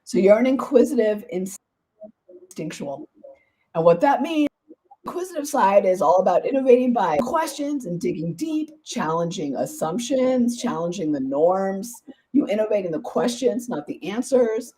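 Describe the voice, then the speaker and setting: A woman hosting a podcast interview, her voice hoarse and partly gone, talking in a bubbly, enthusiastic, chatty way. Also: medium pitch, very animated, normal speed, mellow, low-quality recording. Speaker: podcast host.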